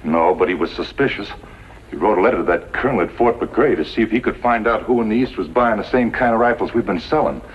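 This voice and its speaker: A man's voice: fairly low pitch, quick pace, natural voice. An actor playing a nervous western outlaw henchman in a 1950s radio drama, anxious and folksy in his talk. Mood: worried. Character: nervous, worried outlaw henchman in the Old West. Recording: muffled, hissy old radio recording.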